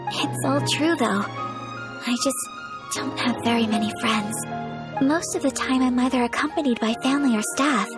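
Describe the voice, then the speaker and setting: An actress playing a shy, courteous young noblewoman in a video game, soft-spoken and formal. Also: high-pitched, close to an everyday voice. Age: young adult. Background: game music.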